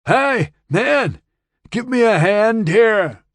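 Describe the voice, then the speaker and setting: A man's voice: deep voice, big pitch swings, moderate pace, slightly rough, dry recording, studio quality, exaggerated voice. A man doing a drawling sarcastic hippie dude. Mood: urgent. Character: laid-back hippie man, slangy and sarcastic.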